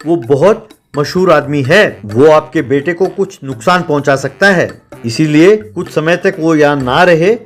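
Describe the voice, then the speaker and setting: Guy doing a nice encouraging cop in a kids cartoon, bit high, music behind. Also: close to an everyday voice. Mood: serious, concerned. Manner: encouraging, authoritative. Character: kindly, encouraging male police officer. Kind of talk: scripted cartoon dialogue.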